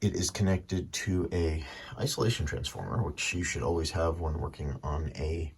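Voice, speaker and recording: A man's voice: low-pitched, moderate pace. A man giving a casual, matter-of-fact running commentary as he demonstrates a repair at his workbench, thinking aloud in short phrases. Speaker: hobbyist radio restorer presenting his own repair. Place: small home workbench. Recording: clearly noisy workshop recording with clicks.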